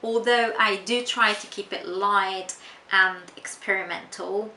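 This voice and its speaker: A young woman from Tunisia, not a native English speaker, working as an English teacher and answering interview questions in a measured, explanatory way with frequent ums. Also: mid-range voice, steady tone, sharp tone. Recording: echoey room with faint noise.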